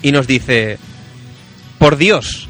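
A man's voice: mid-range voice, expressive, very slow, a bit bright, soft background music. A man with an accent from Spain hosting a casual radio chat and reading listener emails, emphatic and fond of repeating phrases. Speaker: radio show host.

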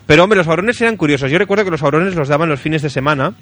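A man's voice: on the high side, racing, fairly bright tone. A man from Spain with a Spanish accent hosting a radio show, chatting casually and jokily and reading out listener messages. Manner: jokey, colloquial. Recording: music playing behind the talk. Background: music.

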